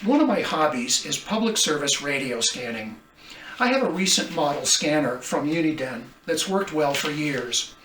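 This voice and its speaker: Man, higher-pitched voice, forceful bright tone, methodical hobby explainer, plain delivery. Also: animated, moderate pace. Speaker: hobbyist presenter. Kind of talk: explanatory hobby talk to camera. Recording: roomy, distant mic, faint background noise.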